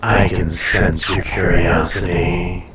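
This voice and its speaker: A man voicing an ancient, haughty, possessive dragon in an intimate audio roleplay, speaking formally and deliberately with a breathy, softly menacing, seductive delivery. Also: low voice, level tone, echoey room, far from the mic, crowd noise. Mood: intrigued, possessive.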